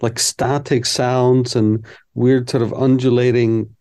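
Mellow-voiced man, candid. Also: medium pitch, level tone, takes it slowly, clear, resonant tone. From Iceland. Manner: candid, reflective, thinks aloud. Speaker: composer guest. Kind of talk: casual interview chat.

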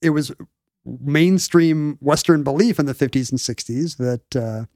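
A man's voice: a little high, a little slow, darkish tone, no room sound, studio quality. A man in his forties explaining history in casual conversation, rambling and often searching for the right word. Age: 40s. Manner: rambling, searches for words mid-sentence.

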